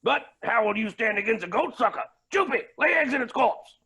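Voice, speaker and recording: Flamboyant evil rich villain for a play, menacing, gravelly and raspy, swings all over the place. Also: male voice, very high voice, animated, brisk, exaggerated voice. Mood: mocking menace. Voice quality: raspy, creaky. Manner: theatrical, grandiose, swinging from mutters to shouts. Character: flamboyant villainous male billionaire, menacing and unhinged. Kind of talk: staged play reading.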